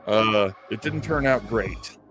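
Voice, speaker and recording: A man hosting a live show, chatting casually with viewers in a halting, self-deprecating way and laughing easily. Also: on the low side. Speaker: host of a live old-time radio show stream. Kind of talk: casual live chat with viewers, rambling sign-off.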